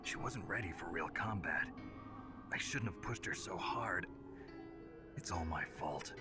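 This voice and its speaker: Very deep brooding soldier hero. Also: male voice, measured pace. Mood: guilty, regretful. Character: gruff, brooding male soldier hero wracked with guilt. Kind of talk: dramatic video game voice acting. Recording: loud game music behind.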